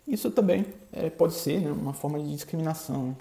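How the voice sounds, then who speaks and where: A man from Bahia with a Bahian accent, hosting a podcast in a halting, casual monologue full of filler tags. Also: fairly high pitch, vocal fry, warm tone. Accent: Bahian Brazilian Portuguese. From Bahia, Brazil. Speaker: podcast host. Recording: echoey room.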